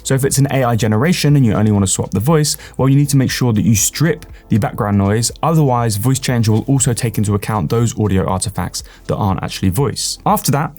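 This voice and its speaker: A man with a mid-range voice presents a software tutorial. He explains things step by step in an instructional style, leaning on the first words of each point. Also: medium pitch.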